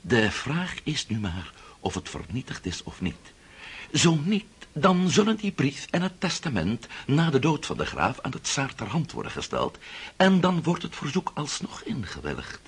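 An actor playing a scheming, impatient older prince in a radio drama, coaxing and condescending with a rough, hoarse voice. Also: male voice, medium pitch, huge pitch swings, moderate pace, exaggerated voice. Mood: impatient, insistent.

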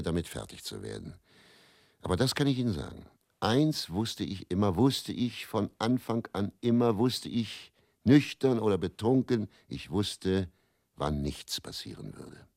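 An actor with pronunciation playing a weary, self-doubting male faith healer who confesses deliberately and broodingly in a radio play monologue. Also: deep voice, very animated, vocal fry, a little mellow, no room sound, studio quality, exaggerated voice. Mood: bitter, tormented.

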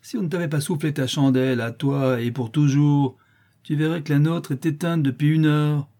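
A man's voice: fairly high pitch, fairly even intonation, normal speed, warm tone, voice pushed up, close to an everyday voice. A man voicing a sly, mocking crippled street boy in a dramatized audiobook. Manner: jeering, sneering.

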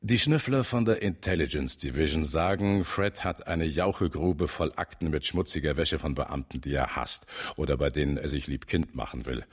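An actor playing a shrewd older police chief in a German radio drama, speaking with blunt, measured authority. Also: male voice, extremely low pitch, breathy edge. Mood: calculating.

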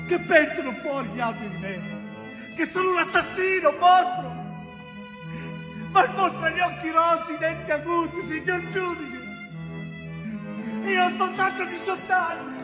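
Anguished young prisoner, high-voiced, echoing hall. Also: male voice. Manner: dramatic, halting short phrases with emphatic repetition. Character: young male bricklayer wrongly jailed, anguished and pleading. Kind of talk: theatrical monologue, stage narration. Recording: reverberant echoing hall, music underneath.

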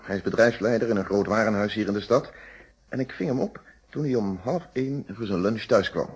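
An actor in a Dutch radio play playing a courteous, methodical police inspector with formal, deliberate questioning. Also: male voice, lowish voice, darkish tone, husky. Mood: explaining. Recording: dull, band-limited old radio recording.

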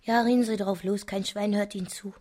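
A woman's voice: mid-range voice, steady tone, brisk, a little mellow, lightly acted. A woman acting in a radio play as a curt, jeering heckler who throws out scornful retorts.

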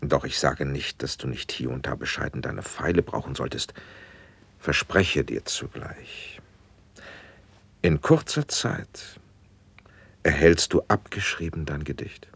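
An actor playing a gracious, measured prince in a classic German verse radio drama, speaking in formal, deliberate declamation. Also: male voice, a bass voice, creaky, fairly bright tone, husky, full character voice. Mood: gently advising.

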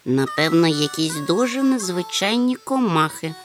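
A woman voicing a fussy, slow-witted male insect-collecting creature in a storybook, with a muttering, pedantic manner. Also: on the low side, animated, music in the background, lightly acted. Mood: puzzled muttering.

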